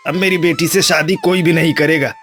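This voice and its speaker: A man's voice: fairly high pitch, steady tone, a little fast, a bit bright, soft background music, close to an everyday voice. A man voicing an indignant village father who insistently demands justice in a cartoon moral story. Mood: aggrieved.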